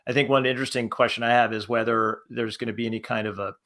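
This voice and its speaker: A man talking casually as a panelist, in a halting manner that circles back over his phrases. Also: mid-range voice, fairly even intonation, a bit bright. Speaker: panelist, podcast creator.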